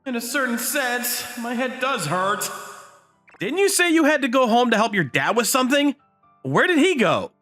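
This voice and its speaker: A man's voice: very high voice, very animated, moderate pace, bright, forceful tone, echoey room, big-room sound, soft background music, heavily acted. A man voicing an easily flustered, incredulous teenage schoolboy in a romantic comedy comic dub. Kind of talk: anime-style comic dub, dialogue and inner monologue.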